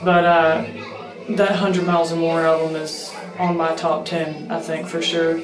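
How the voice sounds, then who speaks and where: A woman's voice: low-pitched, little pitch movement, unhurried, a crowd in the background. A young woman who plays mandolin and sings, answering interview questions in an earnest, rambling way with many fillers. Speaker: bluegrass mandolin player and singer. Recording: roomy echo with music behind.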